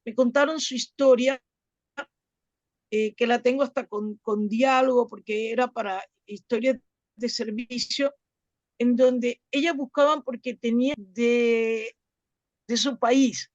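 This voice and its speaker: A woman from Latin America telling a personal story to a group in an earnest, halting way, repeating words as she goes. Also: a little high, steady tone, a little mellow, no room sound, lo-fi sound. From Latin America, outside Chile. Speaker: club volunteer and workshop participant.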